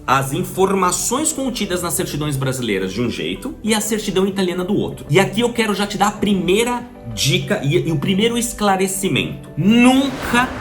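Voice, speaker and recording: A man's voice: high-pitched, very animated, fairly quick, few pauses, soft background music. A man with a Brazilian Portuguese accent giving an explanatory video lesson, conversational and often checking in with the listener. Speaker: citizenship process instructor.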